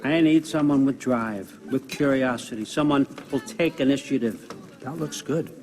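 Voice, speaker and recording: A man's voice: medium pitch, normal speed, a little mellow, natural voice. An actor playing a sly, powerful older god-king in a video game, offhand and commanding. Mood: easygoing persuasion. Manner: offhand, wry, talking like a busy boss. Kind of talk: naturalistic video game cutscene acting. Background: background music.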